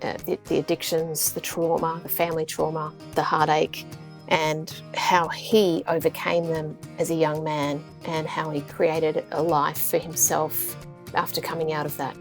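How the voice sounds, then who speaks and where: A woman hosting a podcast, earnest and gentle as she introduces and encourages her guest. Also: low voice, little pitch movement, a little slow, soft background music. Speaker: podcast host.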